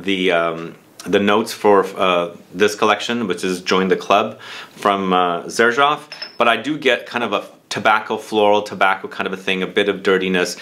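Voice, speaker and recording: Guy with a lowish voice doing a chatty review vid, really into it, repeats words for emphasis. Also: a bit bright. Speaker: fragrance reviewer and video host. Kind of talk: casual review talk to camera.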